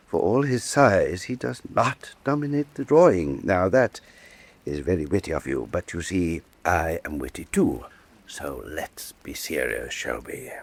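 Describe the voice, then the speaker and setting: Deep, husky, imperious Venetian statesman. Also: no echo. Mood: sardonic. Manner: suave, pompous, deliberate rhetorical repetition. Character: vain, imperious Venetian statesman, silkily menacing patron. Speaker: actor in a radio drama. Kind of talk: full-cast radio drama acting.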